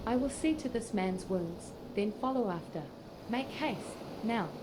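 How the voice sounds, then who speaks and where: A woman's voice: on the high side, lively intonation, unhurried, background noise. A synthetic-sounding woman voicing a formal, dutiful dockyard officer in a fantasy game, with stately archaic phrasing. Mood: urgent. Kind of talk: scripted fantasy game dialogue read by text-to-speech.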